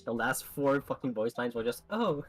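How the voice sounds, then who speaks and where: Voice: medium pitch, fairly even intonation, brisk, faint music behind. A person doing casual, profane, joking asides during a group fan-dub gaming stream. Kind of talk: casual group let's play with improvised character voices.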